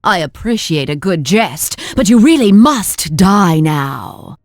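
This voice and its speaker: Slow, mocking, haughty evil sorceress. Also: female voice, on the low side, big pitch swings, darkish tone, no room sound, clean studio sound, exaggerated voice. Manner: mocking, theatrical, drawn-out. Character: haughty, cruel, mocking female villain sorceress. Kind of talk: video game voice acting.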